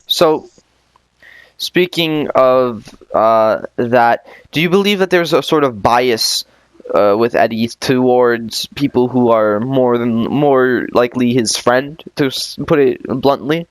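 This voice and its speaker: A man's voice: medium pitch, slow pace, clear, resonant tone, no echo. A man hosting a podcast interview, talking in a loose, rambling way with many fillers and restarts. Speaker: podcast host. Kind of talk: casual interview chat.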